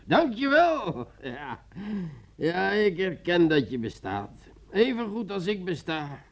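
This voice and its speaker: An actor playing a drunken, bitter army sergeant in a radio play, theatrical and exclamatory, muttering tipsily. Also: male voice, high-pitched, huge pitch swings, slow pace, muffled recording, exaggerated voice. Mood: fervent, exalted.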